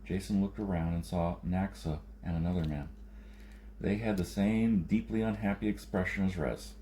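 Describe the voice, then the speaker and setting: A man's voice: low voice, steady tone, normal speed, mellow, slight background noise. A man narrating a science fiction novel as an audiobook reader.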